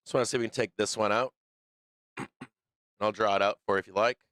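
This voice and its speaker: A low-pitched man with a bright, crisp voice talks through stock charts on a live stream, loose and thinking aloud with plenty of fillers and restarts. He is losing his voice, and the sound is close and dry with no room in it. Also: steady tone, fairly quick, no echo. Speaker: stock market stream host. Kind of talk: live trading commentary over charts.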